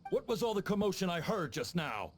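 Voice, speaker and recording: An actor playing a stern, officious chief of tax officers in a video game, commanding and haughty. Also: male voice, sits high, very animated, fairly quick, a little hiss or hum. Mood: angry, imperious. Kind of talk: English video game dub acting.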